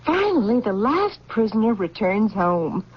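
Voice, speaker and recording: A woman's voice: mid-range voice, big pitch swings, measured pace, husky. A woman playing an ambitious, gushing, persuasive general's wife in old-time radio drama style. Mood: flattering. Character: ambitious, excitable general's wife, socially scheming. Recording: dull old radio recording.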